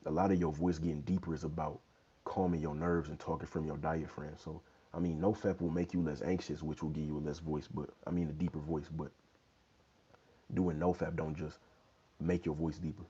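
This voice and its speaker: Very deep-voiced laid-back young man. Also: level tone, brisk, mellow, slightly rough. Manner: laid-back, loose slang, many "you know what I'm saying". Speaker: fitness livestream host. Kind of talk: casual livestream Q&A chat. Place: small bedroom indoors. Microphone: wired earbud mic, phone held low. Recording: dull lo-fi phone audio.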